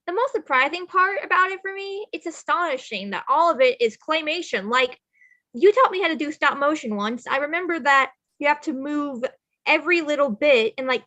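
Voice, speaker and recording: A woman with a high, bright voice speaks casually in a group chat. The recording is a touch muffled. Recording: slightly muffled call audio.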